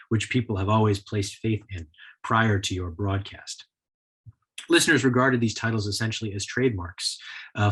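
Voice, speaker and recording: A man's voice: lowish voice, a bit bright, breathy edge. An American man speaking as a historian, delivering a prepared lecture in an explanatory style.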